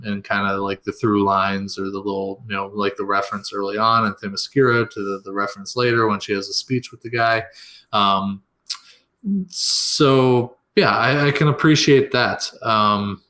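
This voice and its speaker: A man's voice: lowish voice, fairly bright tone. A man co-hosting a casual film review podcast, rambling with plenty of fillers as he works through his notes. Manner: rambling, many fillers and restarts. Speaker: podcast co-host.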